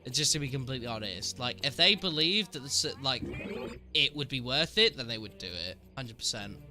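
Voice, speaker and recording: A man's voice: mid-range voice, lively intonation, fairly quick, pushed and bright, soft background music. A man with a cold and a sniffly voice, chatting casually with his stream viewers while answering game trivia aloud. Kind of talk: casual live-stream commentary.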